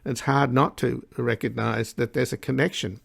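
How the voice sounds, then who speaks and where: A man hosting a political interview in a gravelly, creaky voice, putting long, halting, opinionated questions. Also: mid-range voice, fairly even intonation, fairly bright tone, dry recording, studio quality. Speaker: interview host.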